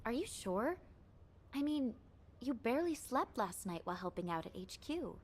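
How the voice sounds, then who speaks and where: A woman's voice: a little high, sing-song, moderate pace, husky, heavily acted. An actress voicing a caring young female headquarters officer in a game. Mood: concerned.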